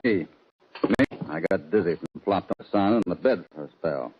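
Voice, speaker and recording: An actor playing a calm, steady Old West cowboy hero in an old-time radio western. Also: male voice, sits low, normal speed, lightly acted. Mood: steady, questioning. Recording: dull, noisy old radio recording with clicks.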